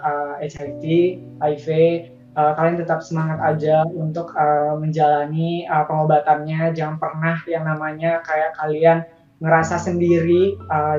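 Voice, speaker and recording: A woman's voice: deep voice, darkish tone. A woman sharing a personal story as an interview guest, speaking in an earnest, informal and encouraging way. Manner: earnest, informal, addressing viewers as friends. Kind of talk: casual, encouraging testimony. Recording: distant, echoey, slightly dull sound.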